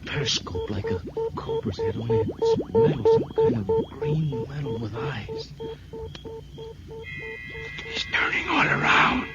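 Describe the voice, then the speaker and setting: An actor playing an excitable, frightened deputy on night watch in a 1950s radio drama. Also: male voice, very high voice, unhurried, pauses often, full character voice. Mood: alarmed. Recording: dull old radio recording, loud music.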